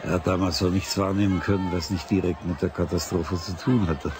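A man's voice: low voice, steady tone, fairly quick, close to an everyday voice. An actor playing a wry, wary middle-aged father in a radio play, with a dry manner. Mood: sober. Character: wry, wary wealthy father of grown daughter. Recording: loud crowd noise behind.

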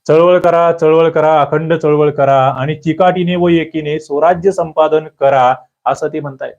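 A man teaching an exam prep lecture, quizzing listeners with lively rhetorical questions. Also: fairly high pitch, measured pace. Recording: dull lo-fi audio.

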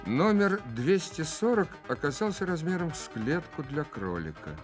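A male narrator tells a story in a radio drama. His pitch moves in lively sweeps, and music plays clearly behind him. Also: normal speed.

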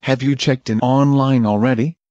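A man reads short English travel phrases in a measured, plain voice, one at a time for learners. His pitch is ordinary for a man. The audio is dry and a little dull, as if the highs were trimmed. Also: steady tone, a little mellow, no room sound. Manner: measured, plain, each phrase read alone. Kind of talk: slow clear phrase reading for learners.

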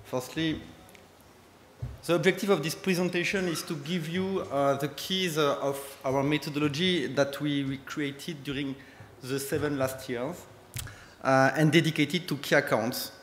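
A man's voice: a little high, steady tone, a bit bright. Man, strong French accent, conference speaker, hesitant English, echoey hall, faint background noise. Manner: hesitant, halting English with fillers. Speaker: conference speaker, company head. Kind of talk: conference presentation. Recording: echoey hall with long reverb.

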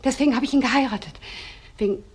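Loyal proud wife, fairly high husky voice, halting deliberate delivery, crime drama. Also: female voice. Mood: defiant, loving. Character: proud, trusting wife defending her suspected husband. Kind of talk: German TV crime drama dialogue.

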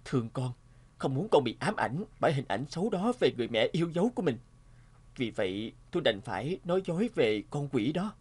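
A sentimental family man in an animated drama. The voice is medium in pitch with a raspy, unclean edge, and the delivery is earnest and melancholy. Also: a little fast. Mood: pained. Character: troubled, sentimental family man, father and grown son.

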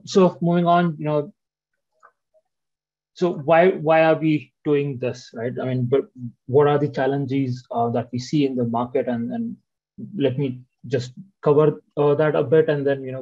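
Male presenter on a webinar call, warm tone, muffled call audio, lots of "uh"s. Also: mid-range voice. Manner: frequent "uh" and "right?" tags. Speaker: senior product manager presenting. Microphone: video call.

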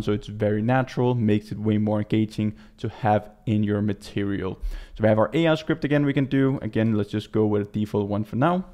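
A man in his twenties from Denmark with a Danish accent, giving a casual software walkthrough as a native Danish speaker. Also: fairly low pitch. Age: 20s. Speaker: tutorial presenter. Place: small indoor room.

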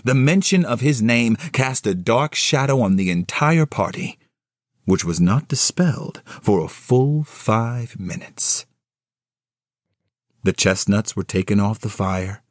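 Male audio-play narrator: low, unhurried, dry close sound, scripted storybook delivery. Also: animated, dry recording.

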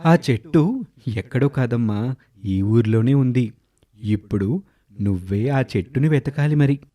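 Guy doing a kind old-tale swamiji, soft mellow tone, calm n reassuring, fatherly. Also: medium pitch, unhurried, no echo, natural voice. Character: kindly holy man swamiji consoling a young girl. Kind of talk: dramatised moral storytelling.

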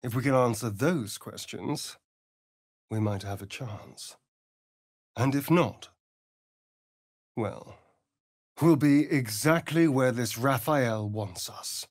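A man with a British accent and a breathy voice playing a vain, sardonic, flirtatious vampire spawn in a video game, with an arch, mocking drawl. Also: mid-range voice, takes it slowly, pauses often, no room sound, clean studio sound. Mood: pondering, irritated. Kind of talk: theatrical video game character acting.

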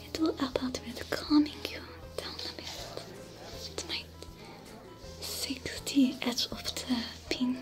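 Woman doing a soft whispery alien smuggler lady, french accent, slow and high, music behind. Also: steady tone. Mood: soothing. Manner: slow, soothing, caring. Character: female alien smuggler, gentle caretaker. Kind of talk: whispered ASMR roleplay.

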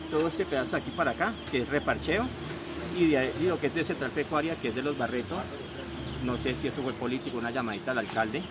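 A man's voice: medium pitch, fast. A man in his forties from Colombia, a shop owner, speaking with emphatic outrage in an outdoor street interview. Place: outdoors on a busy town street. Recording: loud street traffic behind.

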